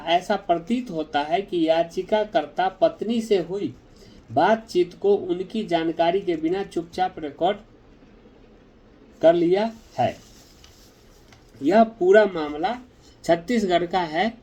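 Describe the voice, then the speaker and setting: Brief: male legal explainer, raised voice, slow measured delivery, speaks straight to viewers. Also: sits high, darkish tone. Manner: addresses viewers directly, deliberate and explanatory.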